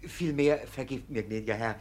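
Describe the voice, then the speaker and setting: An actor playing a sly, deferential village clerk, politely insinuating, in a classic radio comedy. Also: male voice, medium pitch, fairly quick, darkish tone, slight background noise, natural voice. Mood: sly deference.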